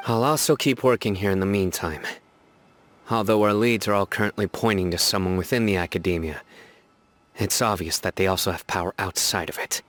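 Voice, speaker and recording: A man's voice: low-pitched, fairly bright tone. A man voicing a serious, determined investigator who speaks firmly and reassuringly in a game cutscene. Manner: firm and reassuring.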